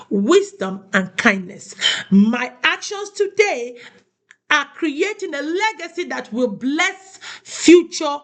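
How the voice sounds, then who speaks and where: A woman from Nigeria giving a motivational talk, preaching and repeating key phrases for emphasis. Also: high voice. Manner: asks listeners "do you understand?". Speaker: host of a women's motivational show.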